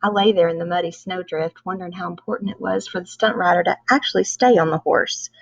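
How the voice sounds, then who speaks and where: A woman reading a children's novel aloud in an expressive, animated storytelling style. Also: lowish voice, fast, creaky, no room sound. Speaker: read-aloud reader. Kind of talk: expressive children's book narration. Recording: dull, lo-fi close recording.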